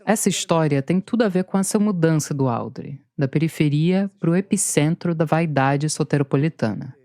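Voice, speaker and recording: A woman's voice: deep voice, normal speed, mellow, no room sound. Woman narrating a story podcast dryly. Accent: Brazilian Portuguese. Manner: dry, playful storytelling. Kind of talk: scripted narration with interview clips.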